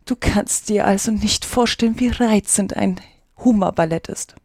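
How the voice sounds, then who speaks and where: A woman voicing a sad, tearful mock turtle from a storybook in a slow, solemn, drawn-out way. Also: medium pitch, a little mellow, dry recording, studio quality, natural voice. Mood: wistful, eager. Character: sad mock turtle, female, tearful, wistful dance enthusiast. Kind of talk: storybook reading with slow, mournful singing.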